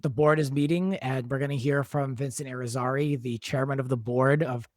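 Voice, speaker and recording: A man's voice: mid-range voice, fairly even intonation, a little fast, dry recording. A man talking casually as a panelist on a podcast about a drama. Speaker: podcast panelist from the show's team. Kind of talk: casual discussion of a drama episode.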